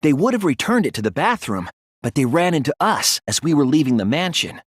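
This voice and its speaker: A young detective student from a video game, earnest and analytical. His voice is slightly rough and breathy, moving through lively swings of pitch as he lays out each deduction with care. It is captured close and dry. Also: a little fast, no room sound. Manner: careful, reasoning step by step.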